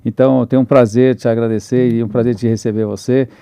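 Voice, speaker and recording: A man's voice: mid-range voice, fairly even intonation, fast talker, mellow, clean tone, no echo, clean studio sound. A man hosting a podcast, introducing his guests with enthusiastic, rambling talk full of restarts. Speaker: podcast host.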